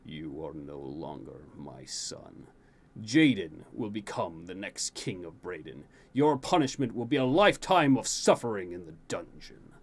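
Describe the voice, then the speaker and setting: A man doing a deep, slow, furious old king. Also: sing-song, husky, heavily acted. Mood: cold fury. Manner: booming, slow, cold. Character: stern deposed-prince-hating king father, older man.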